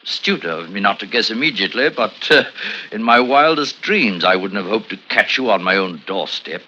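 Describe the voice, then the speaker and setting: An actor playing a middle-aged professor who is a coolly menacing spy chief, urbane and deliberate, in a 1950s radio thriller. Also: male voice, fairly low pitch, lively intonation, bright, forceful tone. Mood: smug, menacing. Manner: urbane, deliberate, savouring pauses. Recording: muffled, noisy old radio recording with clicks.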